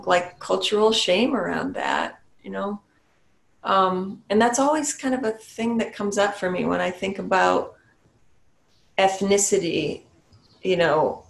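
A woman's voice: medium pitch, gravelly. Reflective woman on an echoey video call. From New Hampshire, USA. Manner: thoughtful, unhurried, with small restarts and soft laughs. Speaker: Zen priest and meditation teacher. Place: small home room with a shrine. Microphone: webcam on a video call.

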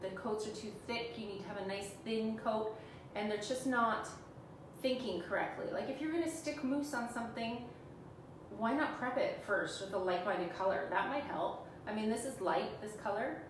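A woman's voice: fairly high pitch, moderate pace. A Canadian woman in her forties, talking viewers through a live furniture painting demo in a chatty, self-teasing way. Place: small room indoors, sitting on the floor. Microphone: camera on a stand a few feet away. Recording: echoey room, far from mic, background hum.

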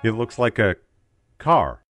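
A man's voice: fairly low pitch, sing-song, slow pace, loud music behind the voice. A man playing a dry, scheming sidekick in an audio comedy sketch. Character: dry, scheming member of a comic gang.

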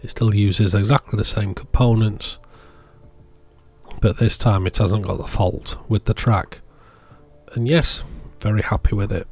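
Low-voiced man presenting electronics. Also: fairly even intonation, unhurried, a little mellow, slightly rough. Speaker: presenter of an electronics hobby channel. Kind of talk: casual product showcase voice-over.

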